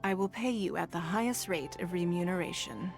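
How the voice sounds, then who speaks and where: A composed rich businesswoman boss, lowish voice, formal and authoritative, game music underneath. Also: fairly quick, gravelly. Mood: shrewd, assured. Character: poised, wealthy businesswoman leader, composed, shrewd and formal. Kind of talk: video game voice acting.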